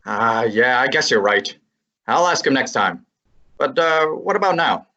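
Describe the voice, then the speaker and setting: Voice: medium pitch, expressive, unhurried, sharp tone, muffled recording. A performer playing a brash young male assistant who also narrates in an old-time radio drama, talking in a teasing, breezy way. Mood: needling.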